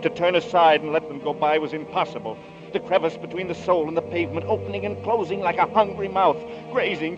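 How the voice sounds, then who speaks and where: A man's voice: medium pitch, close to an everyday voice. An actor playing a haunted young man accused of murder, narrating and pleading with intense, desperate energy in a 1940s radio drama. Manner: intense, rising to desperate outbursts. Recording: dull lo-fi 1940s radio broadcast. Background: dramatic orchestral music.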